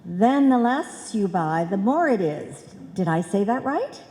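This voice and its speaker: Scatterbrained, earnest wife for old-time radio comedy played by a woman, very animated, slow delivery, reverberant stage. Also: mid-range voice, a little hiss or hum. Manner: muddled, malapropism-prone, animated. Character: scatterbrained, earnest wife who mangles words. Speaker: radio play actor. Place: live stage in a hall. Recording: reverberant hall stage recording.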